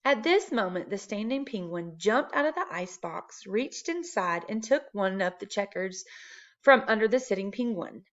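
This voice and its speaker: A woman's voice: medium pitch, lively intonation, moderate pace, lo-fi sound. A woman reading a children's chapter book aloud as a narrator. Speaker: storybook reader.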